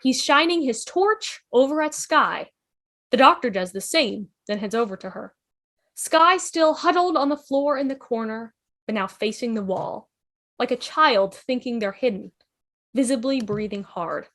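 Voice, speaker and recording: A woman's voice: fairly high pitch, lively intonation, a little slow, fairly bright tone. A woman giving dramatic, punchy scripted narration at an amateur table read, voicing sound effects as plain words. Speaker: narrator reading stage directions.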